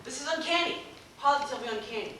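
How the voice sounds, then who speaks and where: A man playing a worldly, drily amused know-it-all gentleman in an amateur stage comedy, speaking in a glib, theatrically formal way. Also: very high voice, fast talker. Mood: puzzled, glib. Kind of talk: amateur stage acting in a comic one-act play. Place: small theater stage, recorded from the audience. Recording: echoey theater, distant mic, noticeable noise.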